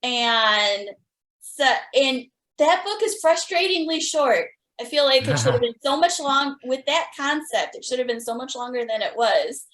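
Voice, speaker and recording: A woman's voice: sits high, expressive, unhurried, a bit bright. A woman hosting a podcast, chatting casually and enthusiastically with her guests. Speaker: podcast host interviewing guests. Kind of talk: casual fan interview chat. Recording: roomy, echoing sound.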